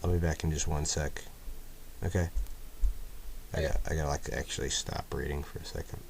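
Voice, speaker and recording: A man's voice: extremely low pitch, steady tone, a little fast, vocal fry, noisy background. A man reading a fanfic story aloud casually, tripping over words and chatting in asides. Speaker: fanfiction reader.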